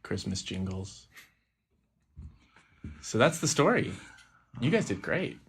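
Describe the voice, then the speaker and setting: A man hosts a casual podcast. His voice sits on the low side with a bright, forceful tone, and he talks in loose, halting phrases that often repeat. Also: very animated, creaky, husky. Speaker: podcast host.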